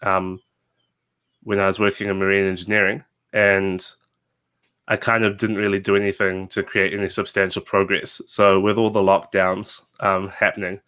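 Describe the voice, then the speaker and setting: A man's voice: deep voice, little pitch movement, normal speed, pushed and bright, clear, resonant tone. A man in his twenties with a New Zealand accent, a game designer chatting casually as an interview guest, rambling with fillers and restarts. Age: 20s.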